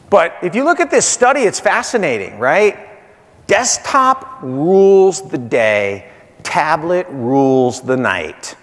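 Animated man presenting in a reverberant hall. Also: medium pitch. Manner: punchy short phrases, repeats key points, often tags statements with "right?". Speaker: keynote speaker, marketing executive. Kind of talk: conference keynote presentation. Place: large hotel conference stage, indoors.

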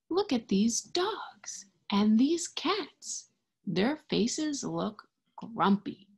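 A young woman reading a picture book aloud to small children, expressively and deliberately, pausing to explain and ask simple questions. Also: lowish voice, creaky, breathy edge. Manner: expressive, deliberate, child-directed teacher talk. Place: indoors in front of a green screen.

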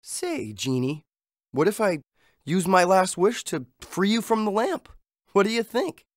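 An actor playing a good-hearted young street adventurer, the earnest hero of an animated game. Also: male voice, high voice, unhurried, no echo, studio quality.

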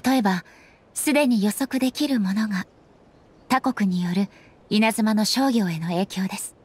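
An actress playing a solemn, composed female ruler in an anime game, speaking formally and earnestly with measured politeness. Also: mid-range voice, a little mellow, slightly rough, natural voice. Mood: solemn, reflective. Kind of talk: scripted anime game dialogue.

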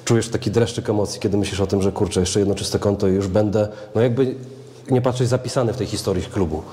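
A man's voice: lowish voice, fast talker, mellow. A young man interviewing a footballer, asking long, winding questions. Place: club media room, indoors. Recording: echoey room with long reverb.

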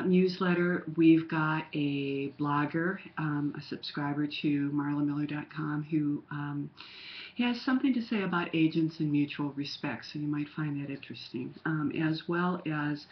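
A woman's voice: low voice, steady tone, measured pace. An older woman with a slightly nasal voice from a cold, chatting casually as a writing coach with many ums, recorded on a webcam in a home study. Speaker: writing coach critiquing query letters. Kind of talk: casual webcam critique, reading a letter aloud. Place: home study with bookshelves, indoors. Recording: dull lo-fi webcam audio.

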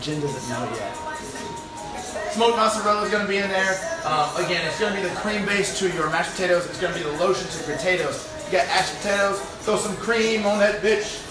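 A man's voice: high voice, quick pace, hardly any pauses, music in the background. A young man chatting casually as he hosts a home cooking video, laid-back and rambling, with jokey asides. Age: young adult. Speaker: cooking show host, home chef. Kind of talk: casual chat while cooking. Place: home apartment kitchen. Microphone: phone on counter, a few steps away. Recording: roomy, echoey phone recording from far off.

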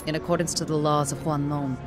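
A woman's voice: low-pitched, flat delivery, fast, music in the background, natural voice. A woman voicing a stoic, devoted young royal guard in a video game, with formal, restrained, solemn delivery. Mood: steady, dutiful. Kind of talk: scripted video game character voice lines.

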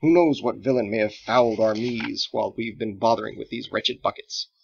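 A man's voice: fairly low pitch, steady tone, fairly quick, creaky, dull audio, slight background noise. A man voicing a playful, theatrical cook who teases slyly and pretends at wizardry in a storybook reading. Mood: mock-dramatic. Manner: teasing, sly, hammy.

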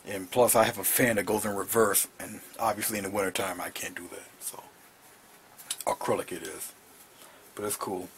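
A man's voice: moderate pace, a little hiss or hum. A middle-aged man teaching painting in a small home studio, talking casually as he works and often dropping to a murmur. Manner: relaxed, talks while he paints, drops to low murmurs over the brush work. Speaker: painting instructor.

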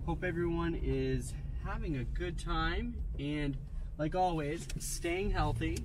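Chatty man over loud engine noise. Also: slow pace. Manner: relaxed, chatty, thinking aloud. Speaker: vlogger. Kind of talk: casual driving vlog narration. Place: inside a pickup truck cab in the snow. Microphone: mounted dash camera. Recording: loud car noise in the cab. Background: loud engine and road rumble.

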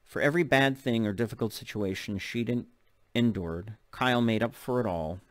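Middle-aged man, story narration, slightly low voice, close dry mic. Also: normal speed, dry recording. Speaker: author reading a novel aloud. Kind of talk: audiobook-style story narration. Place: open living room at home. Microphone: desk microphone close in front.

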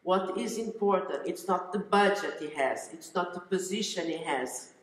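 A woman in her fifties from Angola, speaking English on a conference panel, deliberate and careful, with phrasing. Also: lowish voice. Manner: slow and deliberate, non-native phrasing. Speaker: relocation consultant on a conference panel. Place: large conference hall stage. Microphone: headset mic. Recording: roomy hall with long reverb.

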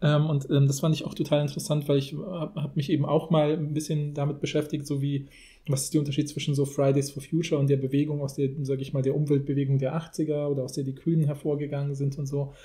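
A man's voice: fairly high pitch, flat delivery, fast, warm tone. A man hosting a podcast, talking in a casual, rambling and informal way. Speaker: podcast host. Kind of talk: casual discussion.

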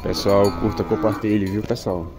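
Lower-voiced Brazilian man filming cattle. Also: fairly even intonation, moderate pace, a crowd in the background. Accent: Pernambuco Brazilian Portuguese. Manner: chatty, keeps calling out prices to his audience. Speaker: vlogger filming a cattle market. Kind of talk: casual running commentary to viewers. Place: outdoor cattle pens at a livestock fair. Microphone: handheld phone camera.

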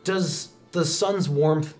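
A man's voice: a little high, very slow, darkish tone. Man narrating a tabletop game over music. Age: young adult. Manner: descriptive, scene-setting storytelling. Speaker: game master. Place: indoor studio, seated at a desk. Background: background music.

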